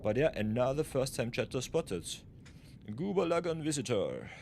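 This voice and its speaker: A man's voice: medium pitch, very animated, normal speed, gravelly, a little mellow, slight background noise. A man from Austria, streaming a game live, muttering his plans to himself and chatting with viewers. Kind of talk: casual live-stream commentary.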